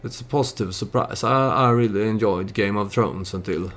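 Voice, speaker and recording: A man giving casual storytelling commentary over a strategy game, hesitant and halting as he thinks aloud. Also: on the low side, fairly bright tone. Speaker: let's play YouTuber narrating his strategy game.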